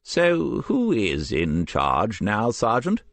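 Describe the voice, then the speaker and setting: A man's voice: on the low side, huge pitch swings, takes it slowly, gravelly, no room sound, voice pushed up, full character voice. A man playing a courteous, arch elderly Englishman. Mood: arch curiosity.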